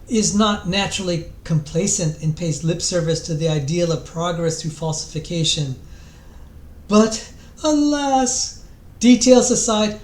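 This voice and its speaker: A high-pitched male voice put on by a man declaims a book introduction in an echoey room. The delivery is theatrical and solemn, with grand dramatic pauses and the odd stumble over a repeated word. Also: a little mellow. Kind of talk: mock-dramatic reading aloud.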